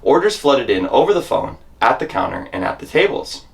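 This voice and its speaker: A man's voice: medium pitch, big pitch swings, brisk, gravelly, slightly rough. A man reading a memoir as a dramatic monologue, telling the story in scripted first person with theatrical emphasis.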